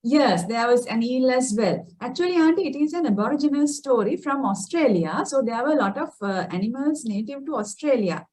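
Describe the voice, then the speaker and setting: High-voiced, lively woman teaching children. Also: brisk, hardly any pauses. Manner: encouraging, praising, prompting with questions. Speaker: children's storytelling session host, teacher. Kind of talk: gentle interactive chat with children. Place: online video call. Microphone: video call microphone.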